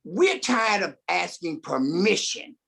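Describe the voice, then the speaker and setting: A man's voice: high voice, sing-song, takes it slowly, gravelly, fairly bright tone, husky. A man speaking bluntly and emphatically on a conference panel, swearing freely. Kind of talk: panel discussion.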